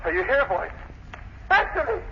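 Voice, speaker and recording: Hysterical captive, high swooping voice, frantic, pleading, noisy vintage radio drama. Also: male voice, sing-song, moderate pace. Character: terrified, pleading captive man, near hysteria. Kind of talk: old-time radio drama acting. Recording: noisy, muffled old radio recording.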